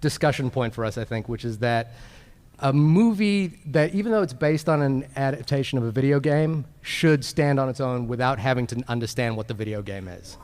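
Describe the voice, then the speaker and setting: A man's voice: mid-range voice, a little fast, darkish tone, in a large hall. A man co-hosting a film podcast as a live panel at a convention, addressing the audience with frequent restarts.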